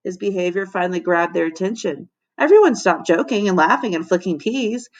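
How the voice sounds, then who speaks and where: A woman teacher narrating a children's storybook aloud in an expressive, dramatic way. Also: lowish voice, fairly quick, dull audio. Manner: animated, dramatic.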